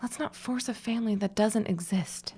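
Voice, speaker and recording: A woman's voice: mid-range voice, normal speed, warm tone, husky, natural voice. An actress playing a wry, realistic young woman in a period audio drama, dry and blunt, weary of family hopes. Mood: firm, bitter.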